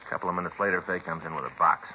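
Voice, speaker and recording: A man's voice: sits low, rapid-fire, lightly acted. A man playing a fretful, rambling American police detective in a 1950s radio drama, folksy and plaintive in his long-winded way of talking. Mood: chatty, rambling. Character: fretful, rambling married male police detective, aggrieved sidekick. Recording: muffled, hissy old radio broadcast.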